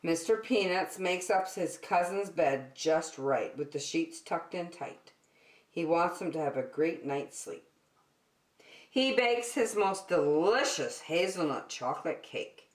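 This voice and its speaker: Slow, animated woman storyteller in echoey room. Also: fairly low pitch. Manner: expressive, addresses young listeners with questions and prompts. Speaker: children's circle time teacher and storyteller. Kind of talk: animated picture-book read-aloud for young children.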